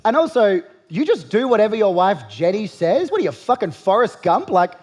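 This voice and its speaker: Australian male late-night host, young adult, mid-high voice, sardonic stand-up monologue delivery. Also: very animated, brisk, a little mellow. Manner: sardonic, punchy delivery with rising punchlines. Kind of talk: satirical comedy monologue.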